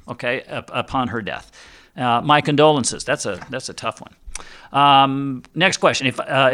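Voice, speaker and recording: A man's voice: a little high, sharp tone. A man hosting an informal advice show as a financial advisor, chatty and folksy, talking straight to his listeners. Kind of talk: informal radio-style advice show, reading and answering listener questions.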